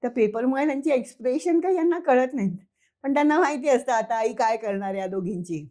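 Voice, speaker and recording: A woman's voice: high-pitched, animated, a little fast, warm tone. A woman storyteller narrating informally for children, conversational, addressing listeners and repeating words for effect.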